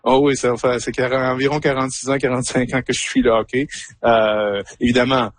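A man's voice: medium pitch, level tone, fairly quick, no room sound. A man of about fifty, a hockey commentator answering interview questions in a thoughtful, explanatory way while searching for his words.

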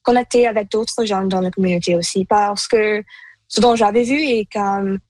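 A woman's voice: mid-range voice, steady tone, moderate pace, darkish tone, no room sound. A woman in her twenties whose first language is Punjabi, a university student guest from Canada, speaking thoughtfully with hesitant fillers. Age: 20s.

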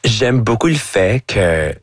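Low-voiced Acadian man mixing in English. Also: sing-song, takes it slowly, no room sound, studio quality. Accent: Acadian French, chiac. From Acadia, Canada. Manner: mixes English words into French, many fillers like "comme". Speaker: drag performer, guest. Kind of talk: casual interview answers.

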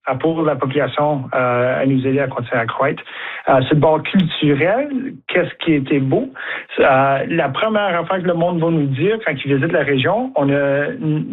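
A man with a Franco-Ontarian French accent talks over a tinny, narrow phone line. His voice sits a little high for a man, and he pauses often and restarts his sentences with plenty of fillers as a radio guest. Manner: hesitant, many fillers and restarts. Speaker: community centre representative.

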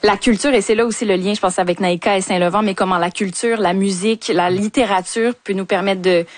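Female culture panellist, medium pitch, thoughtful and hesitant in phrasing, recorded dry and close. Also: steady tone, fairly quick, no echo. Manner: reflective, hesitant phrasing with repeated words. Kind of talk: unscripted panel discussion. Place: dry studio.

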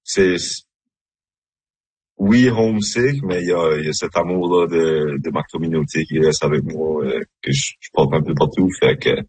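A man's voice: a bass voice, moderate pace, darkish tone, husky, dry recording, low-quality recording. A man speaking as a guest, reflective and hesitant with many fillers. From Moncton, Acadia. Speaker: radio guest. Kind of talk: casual chat.

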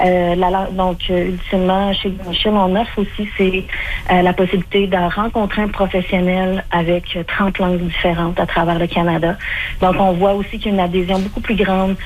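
A middle-aged woman with a Québécois accent speaks low and fairly flat, pausing on fillers and restarting her phrases. She sounds like a clinical spokesperson on a radio interview, with a faint hiss behind her voice. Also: poor audio. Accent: Québécois French. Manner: hesitant, many fillers and restarts.

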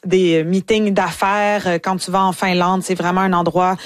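Animated Quebec French woman storyteller. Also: on the low side, normal speed, dry recording. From Canada. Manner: lively storyteller, acts out quoted voices. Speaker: guest, touring performer. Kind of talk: comic anecdote in conversation.

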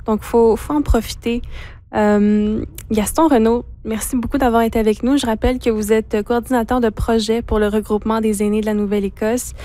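Host: woman with a mellow, fairly high voice, clear and friendly interviewer. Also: steady tone, brisk. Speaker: radio host. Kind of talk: radio interview.

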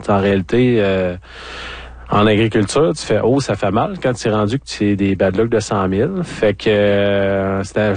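A man with a Quebec French accent speaks in a low voice, recorded dry. He is an interview guest recounting his own experience in a candid, colloquial, conversational way. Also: a little mellow, no echo. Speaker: interview guest, former farmer. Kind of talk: conversational personal storytelling.

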